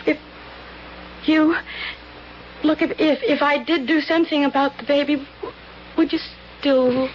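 A lovelorn young woman in a vintage radio play. Her voice is high and distraught, halting as she pleads. The recording is muffled with faint noise. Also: steady tone. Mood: hurt, pleading. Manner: halting, pleading, breaking off sentences. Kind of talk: 1970s radio drama acting. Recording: dull, lightly hissy vintage radio recording.

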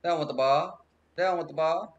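A young man from Texas streaming a basketball video game on a headset mic, trash-talking loudly with lots of profanity. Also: fairly high pitch, brisk, muffled recording. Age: young adult. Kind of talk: live gameplay commentary. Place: indoor room at home.

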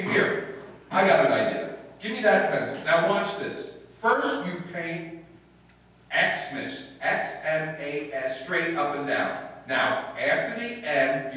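A man's voice: fairly high pitch, a bit bright. A man playing a wry, exasperated, penny-pinching husband in an old-time radio comedy, given to stammering protests. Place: stage in a meeting hall before an audience. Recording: echoey hall, far from microphone.

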